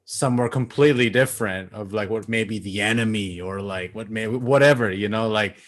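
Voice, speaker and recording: Low-ish voiced guy, late 30s, from bangladesh, easygoing podcast guest on a video call, lots of likes. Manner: relaxed, laughing, lots of fillers. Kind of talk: casual interview chat.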